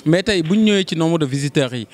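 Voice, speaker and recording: A man's voice: a little high, expressive, fast. A young man with a Senegalese accent, hosting a trade fair interview with a handheld mic, promotional and full of hesitant fillers. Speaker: reporter and host. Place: exhibition stand indoors.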